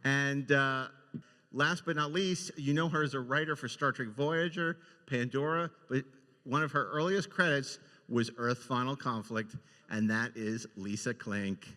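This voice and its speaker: A man hosting unscripted, introducing things effusively and enthusiastically, with a halting, filler-heavy delivery. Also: medium pitch, pushed and bright. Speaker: podcast and convention panel host. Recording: echoey hall with long reverb.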